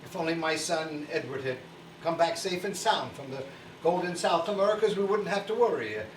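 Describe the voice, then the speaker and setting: An actor playing a humble, kindly old toymaker on stage, halting, stammering and apologetic. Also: male voice, fairly high pitch, expressive, close to an everyday voice. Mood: wistful. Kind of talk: theatrical stage acting. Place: theatre stage. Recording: echoey distant stage recording, faint noise.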